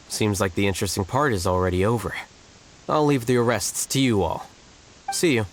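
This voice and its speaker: A man's voice: lowish voice, expressive, normal speed, slight background noise, close to an everyday voice, low-quality recording. A man voicing an aloof, coolly logical young male scholar with a detached, dry and precise delivery. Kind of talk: game voice acting.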